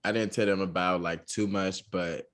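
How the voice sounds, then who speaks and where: A young man co-hosting a podcast, talking casually with lots of fillers and a monotone delivery. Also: sits low, normal speed. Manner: relaxed, many fillers, says he talks monotone. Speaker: podcast co-host.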